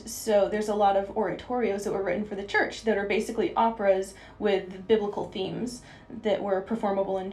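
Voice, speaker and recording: A woman of twenty-two, a guest singer on a radio show, explaining clearly and filling gaps with "you know". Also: medium pitch, a little mellow, reverberant, slight background noise. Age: young adult. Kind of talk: interview answers.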